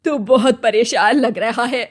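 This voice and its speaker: A woman's voice: a little high, a little slow, voice pushed down. A woman voicing a sickly, loving old mother in a moral-story cartoon, plaintive and sorrowful. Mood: sad, tender.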